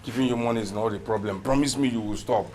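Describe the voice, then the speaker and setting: An actor playing a flustered, henpecked husband in a film drama, repetitive and stammering, with a Nigerian accent. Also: male voice, medium pitch, fast, darkish tone, a little hiss or hum, close to an everyday voice. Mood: pleading. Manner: stammering repetition when agitated. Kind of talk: Nollywood film drama acting.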